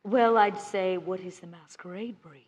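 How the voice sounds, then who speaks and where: A woman's voice: mid-range voice, normal speed. A woman playing a tabletop game at a live show, bantering casually in and out of character. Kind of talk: casual animated roleplay banter. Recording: noisy live convention recording. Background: room ambience and noise.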